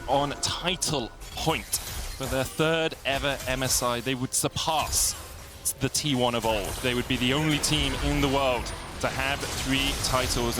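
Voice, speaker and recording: A man casting esports play-by-play, rattling off the action and building excitement on big plays. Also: medium pitch. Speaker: play-by-play caster. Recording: loud game music behind.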